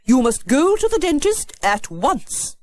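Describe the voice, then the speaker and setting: A woman's voice: high voice, very animated, measured pace. A woman voicing a bossy, commanding, no-nonsense village lady for a children's storybook audiobook. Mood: bossy, insistent.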